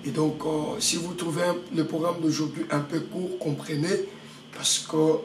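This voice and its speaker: A male pastor with a head cold gives a sermon, halting often and repeating words, and puts rhetorical questions to his listeners. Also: fairly high pitch, level tone. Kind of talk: prayer-meeting sermon. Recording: echoey room, mic at a distance, faint background noise.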